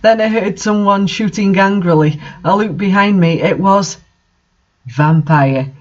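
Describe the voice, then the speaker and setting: A man's voice: sits high, measured pace, highs cut off. A man reading a story aloud and commenting on it in a mocking, exasperated way. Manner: exasperated, sardonic. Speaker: reader and commentator of bad fan fiction.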